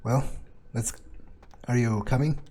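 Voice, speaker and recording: A man's voice: mid-range voice, steady tone, slow pace, dark tone, breathy edge. A man playing a video game in character, musing aloud with dry, muttered asides. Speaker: roleplaying gamer. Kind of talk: in-character roleplay commentary.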